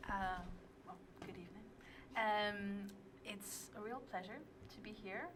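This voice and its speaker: An Irish teacher in her thirties speaking in a measured, polite way, in a small TV studio. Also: mid-range voice, steady tone, background noise. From Ireland. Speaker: teacher leading an exchange trip.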